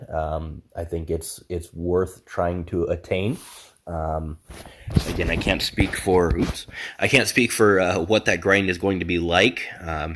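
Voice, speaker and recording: A young man with a congested, nasal voice casually talking through a game build, with lots of ums and a relaxed, self-deprecating manner. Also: low-pitched. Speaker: gaming channel host. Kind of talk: casual game review commentary.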